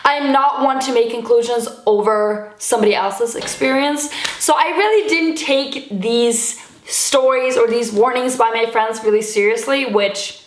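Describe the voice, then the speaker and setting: A young woman from Sweden rambles through a casual storytime, packed with likes and casual swearing. Her voice sits a little high. The room gives the recording a noticeable echo. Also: a little slow. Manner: chatty, swears casually, lots of likes and fillers. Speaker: YouTuber.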